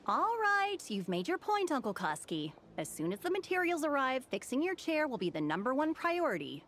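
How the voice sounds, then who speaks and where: An actress playing a brisk, capable young working daughter in a video game. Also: female voice, high-pitched, sing-song, a bit bright, a little hiss or hum. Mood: placating, chiding.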